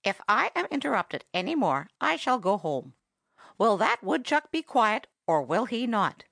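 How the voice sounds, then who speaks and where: Lady putting on a snooty girl parrot from a storybook, highish, very up and down, super pompous. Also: big pitch swings, measured pace, a bit bright, no room sound, studio quality, heavily acted. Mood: sharp, offended. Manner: pompous, grand, easily offended. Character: vain, haughty female parrot storyteller.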